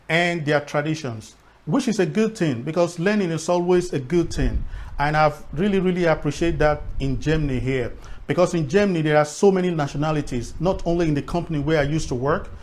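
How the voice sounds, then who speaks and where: A man's voice: a little high, a little mellow. A middle-aged man with a Nigerian accent and Edo as his first language talks reflectively to viewers, repeating words for emphasis. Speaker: vlogger.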